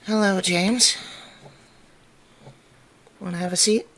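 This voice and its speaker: A woman's voice: medium pitch, fairly even intonation, takes it slowly, a bit bright. A woman playing a stern, worried mother in an audio drama, questioning her teenage son with exasperation. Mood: stern, controlled anger. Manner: scolding, pointed questioning. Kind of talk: amateur audio drama roleplay.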